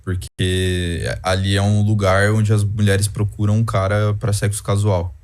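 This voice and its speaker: Guy thinking out loud, lots of fillers, lowish voice. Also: level tone, measured pace. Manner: hesitant, reasoning aloud with fillers. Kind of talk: casual discussion.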